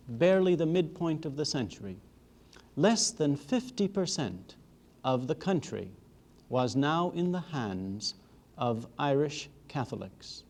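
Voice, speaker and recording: A man giving a formal history lecture in a measured, emphatic way, leaning on rhetorical repetition. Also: fairly high pitch, a little mellow.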